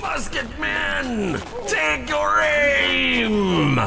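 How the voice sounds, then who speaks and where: An actor playing a fierce pirate officer, bellowing battle orders with drawn-out command in an audio adventure. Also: male voice, very high-pitched, sing-song, creaky, shouting, over loud music, full character voice. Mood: furious, commanding. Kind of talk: cinematic audio roleplay acting.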